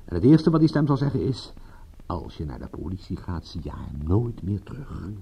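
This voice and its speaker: A man's voice: deep voice, wildly swooping pitch, moderate pace, vocal fry, dark tone, husky, full character voice. An actor playing a tough, impatient and shrewd male private detective in a radio drama, commanding and full of pointed questions. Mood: grim, subdued. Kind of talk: radio drama acting in Dutch.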